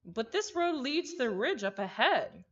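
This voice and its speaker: A woman voicing a puzzled, serious traveler from a visual novel in a measured, matter-of-fact way. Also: fairly high pitch, very animated, a bit bright, dull audio.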